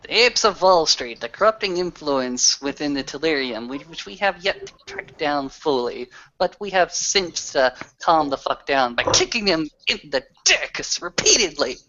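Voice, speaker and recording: A man's voice: a little high, very animated, moderate pace, few pauses, sharp tone. A man speaking in character in a tabletop roleplaying session, with an emphatic, theatrical delivery, on dull lo-fi audio. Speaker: tabletop role-player. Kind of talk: dramatic in-character roleplay speech.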